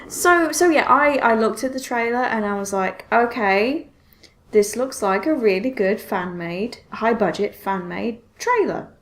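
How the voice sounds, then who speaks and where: A woman's voice: fairly high pitch, animated, measured pace, a bit bright. A woman hosting a podcast in casual chat, telling things expressively with frequent self-interruptions and exclamations. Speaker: podcast host.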